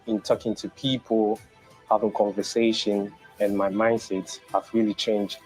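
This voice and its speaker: A young man in his late teens speaks earnestly in a testimonial interview. His voice sits low, and soft music plays faintly behind him. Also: level tone, measured pace, low-quality recording. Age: young adult.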